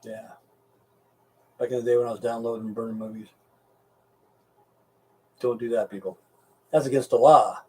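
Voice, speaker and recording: A man's voice: mid-range voice, steady tone, moderate pace, pauses often, darkish tone, slightly rough, recorded from a distance, rough recording. A middle-aged or older American man chatting casually and rambling to live stream viewers, with a blunt, folksy, profane way of talking.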